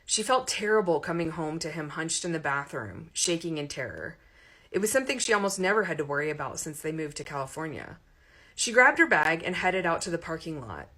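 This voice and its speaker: A woman reading a romantic comedy story aloud in an expressive audiobook narration style. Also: low voice, fairly quick.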